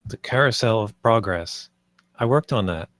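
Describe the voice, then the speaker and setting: A man's voice: lowish voice, lively intonation, moderate pace, fairly bright tone, dry recording, clean studio sound. A man reading the part of a nervous, polite company man in a script table read, hesitant and apologetic.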